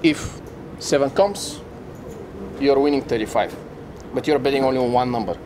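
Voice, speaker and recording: A man in his thirties, a casino host, patiently explaining a table game in halting, non-native phrasing. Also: medium pitch, noisy background. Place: casino floor on a cruise ship.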